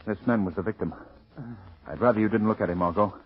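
An actor playing a composed, inquisitive gentleman investigator in a 1940s radio drama, speaking politely and with authority. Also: fairly low pitch, quick pace, natural voice. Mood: urgent, decisive. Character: composed, inquisitive gentleman investigator, middle-aged, courteous and decisive. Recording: muffled 1940s radio recording.

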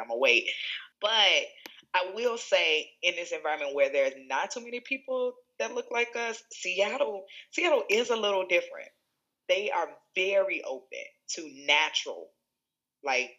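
A woman from Chicago with a Chicago accent, an educational leader telling stories in an interview, animated, laughing often and restarting her sentences. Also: low-pitched, highs cut off. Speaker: school executive director. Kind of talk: conversational interview storytelling.

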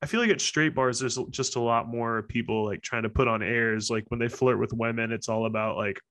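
A man's voice: mid-range voice, fairly even intonation, brisk, a bit bright. A man in a casual conversation, joking loosely and leaning on "like" as filler. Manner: loose, joking, says "like" a lot. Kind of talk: casual chat.

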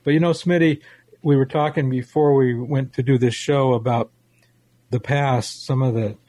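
An American man in his sixties talks in a mellow, relaxed voice with some huskiness. He sounds like a talk show co-host sharing memories in a conversational way. The recording is dry and close. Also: mid-range voice, normal speed, no room sound. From United States. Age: 60s.

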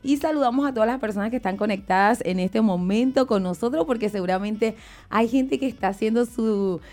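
A woman's voice: on the high side, brisk, darkish tone, soft background music. A woman co-hosting live radio, chatting casually and descriptively to the audience. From Venezuela. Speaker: radio co-host.

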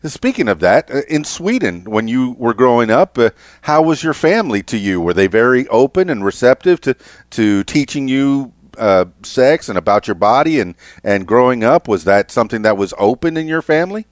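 A man in his fifties hosting an interview, speaking haltingly with many repeated words and restarts. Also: medium pitch, sing-song, no room sound, clean studio sound. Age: 50s. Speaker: podcast host. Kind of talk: interview conversation.

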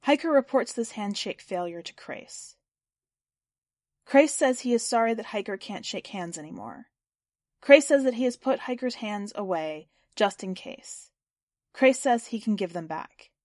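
A woman's voice: medium pitch, animated, measured pace, darkish tone, no echo, clean studio sound. A woman reading scripted fiction and hosting a story podcast. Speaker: podcast host and story reader.

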